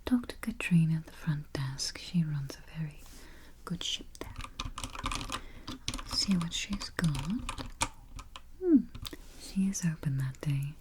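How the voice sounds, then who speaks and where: A woman whispering softly and calmly in an ASMR roleplay as a vampire travel agent, close to the microphone. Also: low-pitched. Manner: soft whispering, unhurried and reassuring. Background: keyboard typing and taps.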